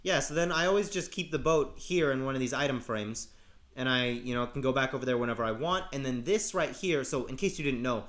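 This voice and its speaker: A man narrating his survival game casually while sick, his voice gravelly and cracking, rambling with halting restarts. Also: medium pitch, a bit bright. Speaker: let's play host. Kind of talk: casual gameplay commentary.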